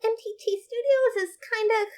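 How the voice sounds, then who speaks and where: A woman's voice: normal speed, darkish tone. A woman voicing a nervous, stammering female dinosaur scientist in a storybook reading. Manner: stuttering, high-strung, flustered.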